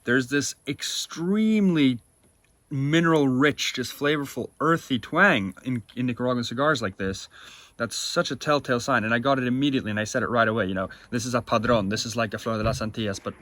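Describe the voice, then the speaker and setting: A man's voice: medium pitch, a little fast, a bit bright, no echo. A young man co-hosting a cigar review show, chatting casually and talking through flavor notes with enthusiasm.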